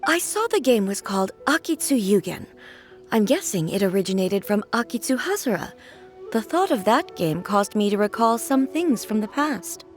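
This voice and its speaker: A woman's voice: mid-range voice, sing-song, normal speed, soft background music, lightly acted. A woman voicing a poised, gracious and reflective lady in a video game, speaking formally and with reserve. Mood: explanatory, nostalgic. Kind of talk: video game character acting.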